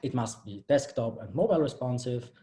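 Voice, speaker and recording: Man, 30s, from Austria, mellow tone; steady, explanatory project presenter over a video call. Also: mid-range voice, brisk, a little hiss or hum. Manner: a little flustered by screen-sharing trouble, then steady and explanatory. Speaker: bootcamp graduate presenting a project. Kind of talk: live-streamed project presentation.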